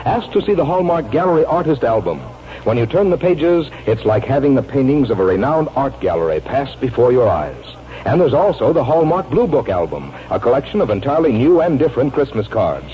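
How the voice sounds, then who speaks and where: A man's voice: mid-range voice, big pitch swings, fairly quick, a little hiss or hum. An American man reading scripted announcements as a 1940s radio announcer. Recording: dull 1940s radio broadcast recording.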